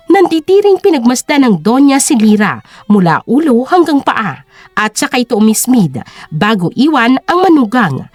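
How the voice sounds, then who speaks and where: A woman narrates an audio drama in a high, very expressive voice. The delivery is scripted storytelling, recorded dry with soft music underneath. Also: normal speed, no echo.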